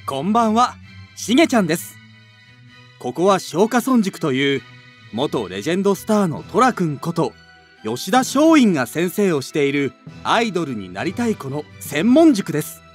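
A man's voice: on the high side, big pitch swings, normal speed, soft background music. A man voicing a friendly, polite young guide, explaining things in an anime voice drama.

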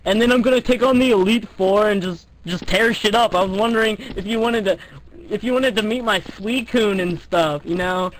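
A man's voice: sits high, normal speed, low-quality recording. A young man chatting casually and crudely on prank phone calls, with a slangy, teasing, profane manner. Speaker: prank caller.

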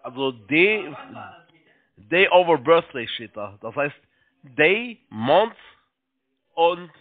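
A man working as a call-centre agent, giving halting step-by-step instructions, repeating himself and spelling things out. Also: fairly high pitch, sing-song. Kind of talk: step-by-step phone sales instructions. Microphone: telephone. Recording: tinny narrow phone line.

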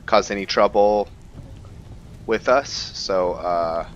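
A man's voice: fairly low pitch, slow pace. A man from the United States giving casual gameplay commentary as a let's play host. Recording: dull lo-fi mic, faint noise.

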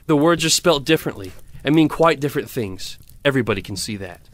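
An actor playing a smug, self-righteous miller in an audio drama, with a pompous, preachy manner. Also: male voice, mid-range voice, expressive, fast talker, slight background noise, close to an everyday voice. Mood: scolding, pompous. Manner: pompous, sententious. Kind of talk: theatrical audio drama acting.

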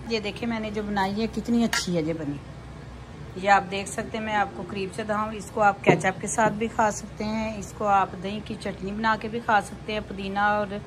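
A woman giving a casual step-by-step cooking demonstration in a home kitchen, often repeating her phrases as she shows each step. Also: medium pitch. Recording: noisy home kitchen recording.

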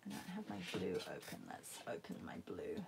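A woman giving a casual painting demo, thinking aloud in a halting, musing way and murmuring to herself as she works. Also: very deep voice, huge pitch swings, gravelly, noisy background. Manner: halting, musing, murmurs to self while working. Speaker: art demonstrator. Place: indoors at a painting table.

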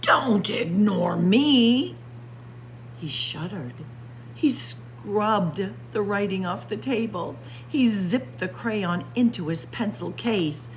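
Librarian, woman, slow and theatrical read-aloud, wide-ranging lowish voice, faint hum behind. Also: rough recording. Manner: dramatic, expressive storytelling with spooky whispers. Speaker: children's librarian. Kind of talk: picture book read-aloud. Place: library with bookshelves.

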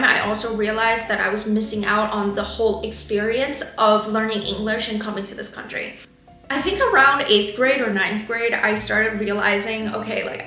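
Twenty-something woman from china, chinese native speaker, candid reflective vlog talk, bright voice, faint music behind. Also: mid-range voice, echoey room. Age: 20s. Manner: candid, thoughtful, gestures a lot while talking. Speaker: vlogger. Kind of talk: reflective personal talk to camera. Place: bedroom or home office, indoors.

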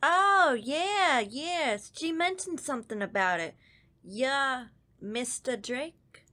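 An actress playing an offhand, drawling assistant at the door in a radio drama. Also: female voice, high-pitched, lively intonation, takes it slowly, pushed and bright, exaggerated voice. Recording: clearly noisy background.

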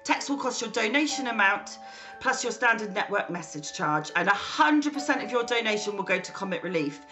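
A woman's voice: mid-range voice, lively intonation, fairly quick, bright, forceful tone. A woman reading a scripted charity appeal as an announcer. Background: music.

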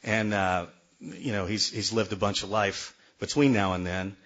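A man briskly introducing the guest speaker at a meeting. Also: fairly low pitch, fairly even intonation, a bit bright.

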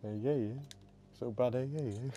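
A man's voice: mid-range voice, normal speed, mellow, soft background music. A man chatting casually with a friend while gaming, teasing him and laughing often. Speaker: gamer and video host.

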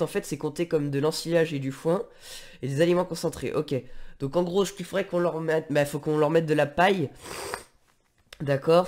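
Male gaming host, higher voice, nasal with a cold, casual thinking-aloud commentary, faint background noise. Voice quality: congested, nasal. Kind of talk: casual let's play commentary.

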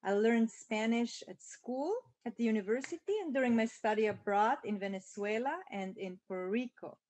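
A woman's voice: fairly high pitch, moderate pace, darkish tone. A woman professor from Switzerland introducing herself in a friendly, welcoming way over a video call. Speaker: Spanish and linguistics professor. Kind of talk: faculty self-introduction. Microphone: video call webcam.

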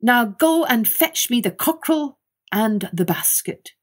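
A woman voicing a calm, stern old holy man who speaks in a measured way, with a husky, slow voice. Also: on the high side, pitched above the performer's own voice.

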